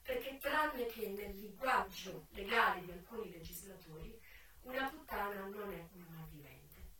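An actress playing a worldly, frank and wry American brothel madam, telling her life in a dramatic radio theatre monologue that moves between hushed confiding and projected declaiming. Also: mid-range voice, animated, normal speed. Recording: echoey, distant stage sound with music. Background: music.

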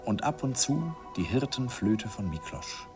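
An actor playing a nostalgic older man who recalls his shy first love in an unhurried, wistful radio play narration. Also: mid-range voice, sing-song. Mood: wistful, reminiscing. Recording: vintage radio recording with music behind.

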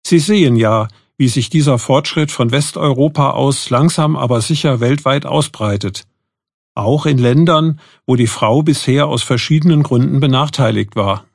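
An actor in his forties playing an electrical engineer guest, speaking in a calm, measured way. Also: mid-range voice, gravelly, no room sound, studio quality. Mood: confident. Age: 40s. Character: male electrical engineer of forty, optimistic guest. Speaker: studio guest. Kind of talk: scripted exam dialogue.

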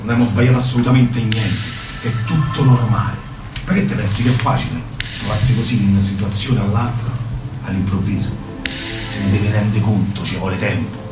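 Patient, firm male traveller, acted by a man, Roman Italian accent, low voice, roomy and distant, music behind. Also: steady tone, brisk, natural voice. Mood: explaining. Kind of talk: radio theatre acting.

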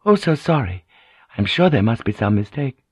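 A man's voice: mid-range voice, very animated, moderate pace, a little mellow, slightly rough, no room sound, studio quality. A man voicing a polite, guarded noblewoman in audiobook dialogue, formal and controlled under her anxiety.

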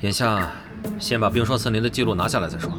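A man's voice: fairly low pitch, fast talker, natural voice. A man playing a confident, cajoling guild gamer in a Chinese audio drama. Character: confident male online-game guild player, cajoling, competitive. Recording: loud music behind the voice.

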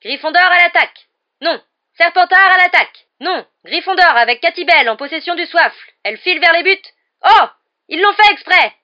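Woman as an excited schoolboy sports commentator, shrill and loud. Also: normal speed, full character voice. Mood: frantic. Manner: exclamatory, shouting, openly biased.

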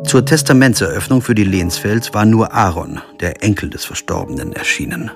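A sober lawyer telling his own crime story in a radio play. His voice is low and observant, with music underneath. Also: lively intonation, moderate pace. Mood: sober, reflective. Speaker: narrator and lead actor. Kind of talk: radio crime drama narration and dialogue. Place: studio.

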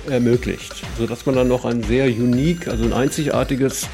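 Gravelly, mellow middle-aged man being interviewed. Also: mid-range voice, steady tone, faint music behind. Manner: deliberate, searching for words. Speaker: interviewee, cassette culture radio host and musician. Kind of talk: thoughtful interview talk.

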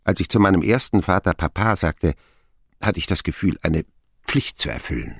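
A man narrating a radio play as an older character wryly recalling his boyhood in a measured storytelling manner. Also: low-pitched, big pitch swings, slightly rough, no echo, studio quality, exaggerated voice. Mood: tender.

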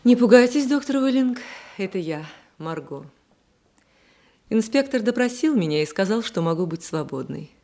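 A woman's voice: mid-range voice, expressive, moderate pace, warm tone. An actress playing a proud, wounded woman under suspicion in a Russian radio play, with theatrical, pointed delivery. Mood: reassuring, weary. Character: proud, wounded woman suspected in a murder case.

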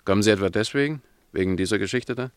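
An actor playing a talkative, matter-of-fact police officer, chatty yet official, in a German radio play. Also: male voice, on the low side, fast talker, clear, resonant tone, no room sound, lightly acted. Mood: chatty, confiding.

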